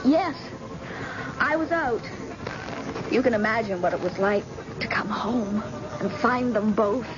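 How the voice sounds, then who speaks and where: An actress playing a young, anxious and devoted daughter with earnest, dramatic delivery in an old-time radio drama. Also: female voice, fairly high pitch, measured pace, pauses often, close to an everyday voice. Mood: bitter, sorrowful. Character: blind man's devoted, anxious grown daughter. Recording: muffled, noisy old radio recording.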